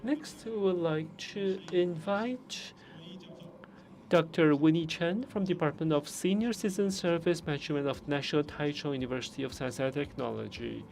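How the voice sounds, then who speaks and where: A man giving simultaneous interpretation of conference speeches, measured and pausing often to restart. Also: fairly high pitch, gravelly, darkish tone, faint music behind. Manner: measured, with frequent pauses and restarts.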